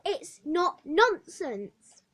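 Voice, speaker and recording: A woman voicing a desperate, defiant little orphan girl, pleading and shrill in a story reading. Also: expressive, moderate pace.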